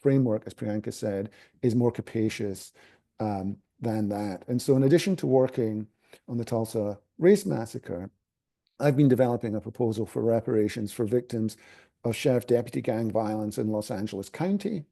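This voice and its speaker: A middle-aged Scottish man talks through a panel answer in a gravelly, very dark voice. He pauses constantly, filling gaps with ums and restarting phrases as he thinks. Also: fairly low pitch. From Scotland. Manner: halting, many fillers and restarts. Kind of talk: academic panel talk.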